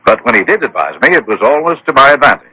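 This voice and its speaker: An actor playing an anxious, credulous, well-mannered wealthy client in a vintage radio mystery, speaking earnestly and formally. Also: male voice, medium pitch, big pitch swings, fast, bright, forceful tone, slightly rough, no echo, exaggerated voice, low-quality recording. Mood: earnest, awed. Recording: old radio drama broadcast.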